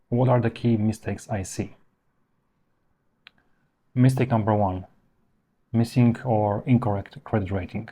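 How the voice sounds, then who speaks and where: A man presenting an instructional explainer in a measured, structured way, stressing his key points. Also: fairly low pitch, warm tone, slight background noise. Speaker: presenter of professional explainer videos. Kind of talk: instructional explainer, semi-scripted narration to viewers.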